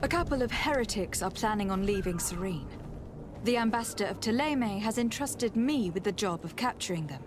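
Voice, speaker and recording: A woman's voice: mid-range voice, lively intonation, brisk, music in the background, lightly acted. A woman playing a poised young noblewoman envoy in a video game, formal, composed and direct. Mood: composed, persuasive.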